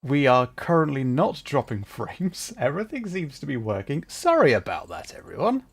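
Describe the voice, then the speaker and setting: A man's voice: on the high side, very animated, normal speed, slightly rough. A man chatting casually to viewers on a livestream, talking in a hesitant, flustered way and often interrupting himself. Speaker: livestreamer, VTuber.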